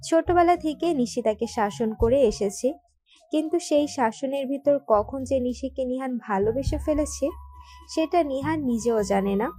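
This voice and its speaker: A woman's voice: a little high, mellow, breathy edge. A woman reading scripted narration for a romantic story. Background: background music.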